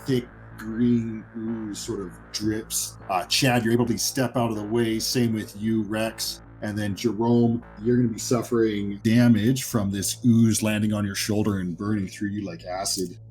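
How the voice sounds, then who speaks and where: Man, low voice, game master, descriptive horror narration, conversational, music behind. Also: level tone, a little slow, hardly any pauses, a little mellow, low-quality recording. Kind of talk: improvised narration of a horror tabletop roleplaying game.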